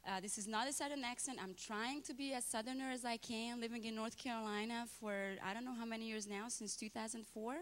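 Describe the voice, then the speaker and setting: A woman's voice: fairly high pitch, steady tone, brisk, few pauses. Romanian-accented woman giving a church testimony. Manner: chatty, checks in with the audience. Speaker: guest speaker. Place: church sanctuary.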